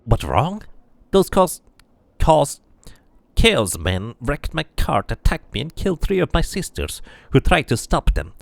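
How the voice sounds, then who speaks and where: A man's voice: on the high side, huge pitch swings, a little slow, creaky, dry recording, voice pushed up, exaggerated voice. A man voicing a scarred tiefling woman in a fantasy game, wild, snappish and grieving. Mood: distraught, bitter.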